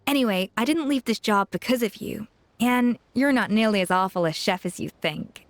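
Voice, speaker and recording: An actress playing a proud, brisk young female chef in a video game, blunt and exasperated in manner. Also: on the high side, soft background music, close to an everyday voice.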